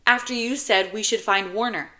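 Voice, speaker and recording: A woman's voice: medium pitch, moderate pace, sharp tone, voice pushed up, close to an everyday voice. A woman voicing a stubborn, emotional ten-year-old boy in an audiobook. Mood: insistent, pestering. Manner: protesting, pestering, emotional.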